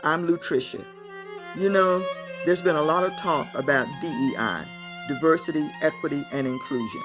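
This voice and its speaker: A woman hosting a podcast, opening with a measured scripted intro and then asking earnest questions with a few restarts. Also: sits low, over music. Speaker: podcast host.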